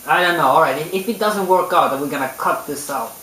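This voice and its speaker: A man's voice: a little high, fast talker, pushed and bright. A man co-hosting an amateur talk show in a casual, wry way. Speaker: co-host and amateur singer-songwriter. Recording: echoey room, distant microphone.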